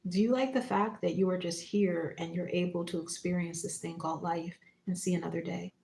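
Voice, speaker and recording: A woman hosting a spiritual livestream, talking casually and reflectively in short phrases and gentle prompts with pauses. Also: lowish voice, steady tone, creaky, a little hiss or hum. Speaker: psychic medium and spiritual teacher hosting a livestream. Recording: roomy, echoing room sound.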